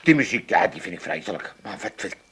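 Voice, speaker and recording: A man's voice: fairly low pitch, sing-song, fast, pushed and bright, breathy edge, exaggerated voice. An actor playing a cantankerous, mocking old father with an Amsterdam accent in a radio comedy. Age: older. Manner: blunt, mocking, teasing.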